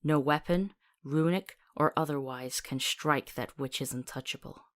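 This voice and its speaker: A woman's voice: low voice, moderate pace, close to an everyday voice. An actress playing a sardonic, practical woman who travels as a companion in a fantasy visual novel, with a wry manner. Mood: solemn reading.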